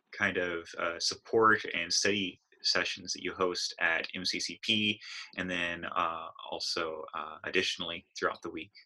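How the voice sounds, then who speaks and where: A man's voice: sits low, poor audio. A man hosting an interview, speaking hesitantly with many "uh"s as he introduces his guest. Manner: warm, hesitant, fills gaps with "uh". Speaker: podcast host. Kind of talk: casual interview.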